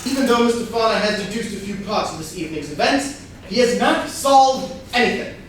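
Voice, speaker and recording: A man's voice: very high-pitched, expressive, a little fast. A man acting a formal, dutiful, literal-minded butler in a staged comedy reading. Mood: formal, composed. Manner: formal, deferential, announcing. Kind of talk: theatrical comedy play reading. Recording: very echoey room, distant mic, faint noise.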